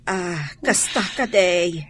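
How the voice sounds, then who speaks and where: A woman's voice: on the low side, slow, a bit bright, husky, heavily acted. An actress playing an inquisitive, talkative mother of grown children in a radio drama, with an Ilocano accent. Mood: concerned, probing.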